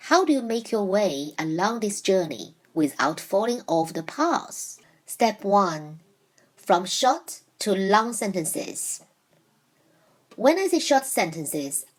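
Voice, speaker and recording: A woman whose first language is Chinese, teaching Mandarin in a scripted lesson, speaking in a measured, clearly enunciated way and slowing down for example sentences. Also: on the low side.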